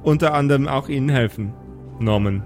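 A man's voice: medium pitch, a little fast, soft background music, lightly acted. A man voicing a kind, slightly melancholic clone woman who speaks politely and a little hesitantly in an improvised audio play. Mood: gentle, resigned.

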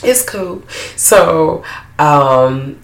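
A woman's voice: deep voice, very slow. Worn-out woman after work, swearing her way through a movie review, kinda echoey room. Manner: blunt, profane, animated. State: low tired after-work voice. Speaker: podcast co-host. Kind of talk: casual movie review chat. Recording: roomy echo.

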